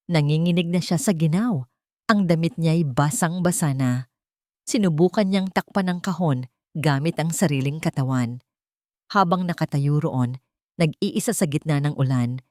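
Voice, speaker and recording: A woman narrates a romantic drama in a fairly low voice. The recording is dry and close, with very little room sound, and the delivery is that of a scripted storyteller. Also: a little mellow, dry recording.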